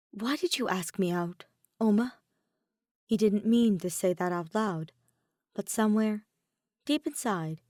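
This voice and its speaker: A woman narrates a story from a script at a medium pitch. The recording is dry, with little room sound around her voice. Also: dark tone, no room sound. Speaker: podfic narrator.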